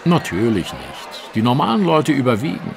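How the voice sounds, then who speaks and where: Male gentleman detective, lively intonation, precise and dry, over clear scene ambience. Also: medium pitch, normal speed, a crowd in the background, background noise. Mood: dry, knowing. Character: astute, self-assured gentleman detective, dryly teasing. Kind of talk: German audio drama dialogue.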